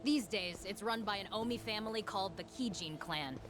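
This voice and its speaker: A woman's voice: medium pitch, a little fast, music in the background, natural voice. An actress voicing a cheeky, upbeat, streetwise young woman fixer in a video game, chatty and slangy. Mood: matter-of-fact, explaining. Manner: slangy, breezy, expressive. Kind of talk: English video game voice acting.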